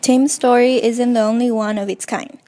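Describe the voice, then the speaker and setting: A woman reading a scripted documentary narration in a clear, informative way. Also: fairly high pitch, steady tone, normal speed, mellow.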